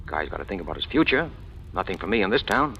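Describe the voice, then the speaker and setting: A man's voice: low-pitched, big pitch swings, fast, pushed and bright, slight background noise, full character voice. An actor playing a hard-boiled, scheming small-time crook who narrates his own rise in clipped, wisecracking slang, in old radio noir style. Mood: cool, calculating. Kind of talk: 1940s-50s radio noir acting. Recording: dull old radio recording, music behind.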